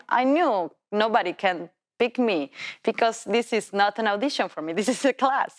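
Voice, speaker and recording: A woman in her thirties with an Argentine accent, a Spanish speaker telling her story as a tango teacher in halting English with many restarts. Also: mid-range voice. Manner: non-native English, halting restarts, laughs while telling. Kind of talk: personal storytelling.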